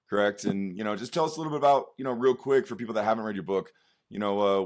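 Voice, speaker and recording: Low-voiced rambling show host, a man. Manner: rambling, filler-heavy questions. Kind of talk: casual interview chat.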